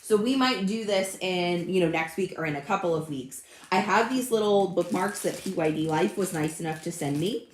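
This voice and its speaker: A slightly hoarse woman in her thirties chats casually to her livestream viewers from a home craft room, greeting them by name. Her voice sits a bit low, and the room gives it a noticeable echo. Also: moderate pace, few pauses, a little hiss or hum. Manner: chatty and friendly, greets live commenters by name. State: slightly hoarse, recovering from illness. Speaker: craft channel host.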